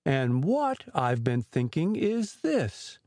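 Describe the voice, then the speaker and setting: A woman voicing a slow-witted, kindly, earnest toy bear in a children's storybook reading. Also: very deep voice, very animated, mellow, no room sound, clean studio sound, heavily acted. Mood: thoughtful, earnest. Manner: ponderous, earnest.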